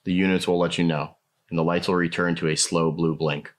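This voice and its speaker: A man's voice: sits low, level tone, fairly quick. A man narrating a scripted product tutorial, explaining each step plainly as a demonstrator.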